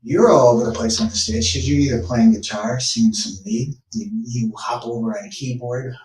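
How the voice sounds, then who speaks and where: A man's voice: medium pitch, brisk, a little mellow. Interview host, man, mid voice, encouraging, recorded at a distance with echo. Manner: encouraging, prompting questions. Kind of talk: casual interview. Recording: roomy, from a distance.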